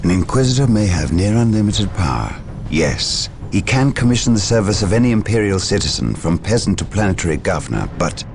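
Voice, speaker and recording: An actor playing a solemn, learned warrior advisor in a video game, speaking formally. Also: male voice, low voice, very animated, fairly quick, creaky, darkish tone, a little hiss or hum, full character voice. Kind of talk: dramatic video game cutscene acting.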